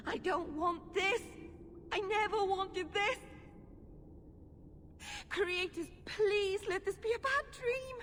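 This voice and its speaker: Anguished, high-voiced young elf mage. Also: female voice, a bit bright, heavily acted. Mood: anguished, pleading. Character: young female elven blood mage, earnest, anguished. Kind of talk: video game cutscene acting. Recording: long hall reverb, faint noise.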